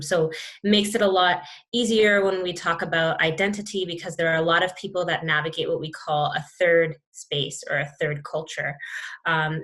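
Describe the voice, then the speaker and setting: A young woman, speaking as an interview guest in a thoughtful, reflective way with many pauses and restarts. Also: low voice, a bit bright. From Canada. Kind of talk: reflective conversation.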